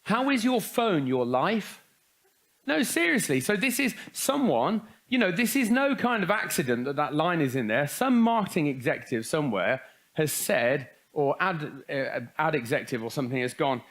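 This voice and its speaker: A man speaks to young people, his voice set a little high and swinging widely as he jokes. His asides are wry and his rhetorical questions are pointed. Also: very animated, moderate pace, a bit bright. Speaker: speaker at a youth conference. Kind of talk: humorous talk to young people.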